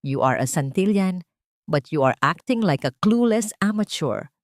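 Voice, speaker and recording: A woman reads a domineering old matriarch in a storybook drama, cutting and scornful. The voice is fairly low. It sounds close and dry. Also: lively intonation, moderate pace, no room sound, natural voice. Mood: scolding. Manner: cutting, scolding.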